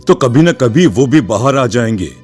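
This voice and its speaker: A man plays a middle-aged cartoon father, anxious and protective. His voice sits at an ordinary male pitch, with music faintly underneath. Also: animated, moderate pace, darkish tone, slightly rough. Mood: stern, worried. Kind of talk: animated story voice acting.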